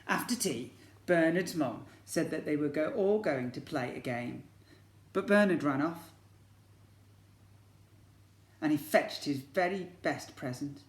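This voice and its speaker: A man's voice: fairly high pitch, animated, a little slow. A man reading a storybook aloud to young children like a teacher, expressively and with questions to the listeners. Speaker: infant school teacher reading to pupils. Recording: echoey room.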